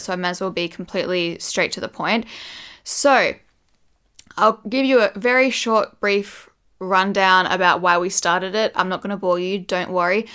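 A woman in her thirties talks casually to her camera, rambling and restarting as she goes, with light laughs here and there. Her voice is smooth underneath, just a little croaky from the morning, and sits at an ordinary middle pitch. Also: a bit bright. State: slightly croaky voice. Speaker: beauty vlogger and brand founder.

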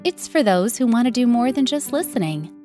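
A woman's voice: on the high side, very animated, mellow, soft background music. Woman reading a podcast promo. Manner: slow, measured read. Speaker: podcast announcer. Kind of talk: scripted promo narration.

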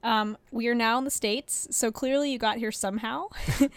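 A woman's voice: a little high, fairly even intonation, normal speed, clear, resonant tone, no echo. A woman interviewing on a casual podcast in an informal, chatty, encouraging way.

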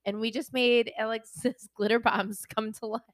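A woman's voice: on the high side, level tone, normal speed, no echo. A woman co-hosting a podcast in casual chat, laughing often, her voice slightly scratchy. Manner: laughs often while talking. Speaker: podcast co-host.

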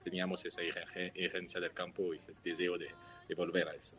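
Low-voiced Swiss man searching for words. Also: little pitch movement, a bit bright, soft background music. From Switzerland. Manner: careful, halting, searching for Spanish words. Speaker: guest, wine entrepreneur. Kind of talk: radio interview answers.

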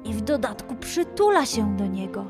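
A woman's voice: a little high, big pitch swings, normal speed. Woman narrating children's story over music. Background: background music.

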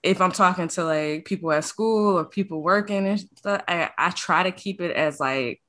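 A woman from Detroit with a Detroit accent, hosting a podcast in a frank, animated casual chat, cursing freely. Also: fairly low pitch, normal speed. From Detroit, USA. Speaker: podcast host.

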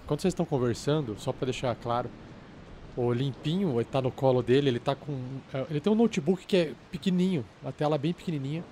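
A man's voice: mid-range voice, fast talker, mellow, a little hiss or hum. A man, a tabletop player who jokes and teases in character. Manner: teasing, playful. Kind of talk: joking in-character banter.

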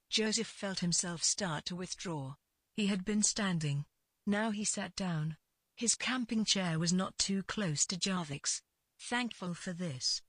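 A woman with a husky voice narrating literary fiction as a scripted read, in deliberate phrases with pauses. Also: on the low side, fairly bright tone, no echo.

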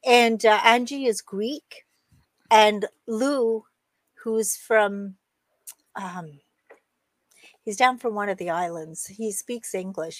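A woman's voice: mid-range voice, no room sound. A middle-aged woman, Estonian by first language, chatting casually with a friend, laughing often and restarting her sentences. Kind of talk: casual chat.

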